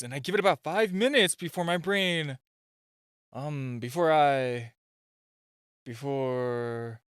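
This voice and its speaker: A man's voice: on the high side, no room sound, clean studio sound. A man playing a super-shy spy gadget guy in a romantic comedy audio roleplay, nervous and stammering, easily flustered. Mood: awkward, flustered. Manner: nervous, stammering, halting, self-interrupting.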